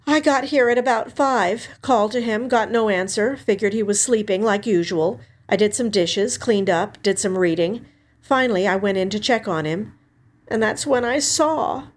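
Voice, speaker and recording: A woman reader plays a dutiful older sister in grief, her voice lifted noticeably high. She speaks gently and earnestly, with a self-sacrificing air, as in an audiobook story. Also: normal speed, natural voice. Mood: weary, composed. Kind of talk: audiobook character dialogue.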